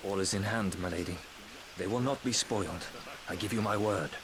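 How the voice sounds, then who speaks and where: A man's voice: sits low, brisk, a crowd in the background, lightly acted. A man playing a deferential soldier officer. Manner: deferential, reassuring.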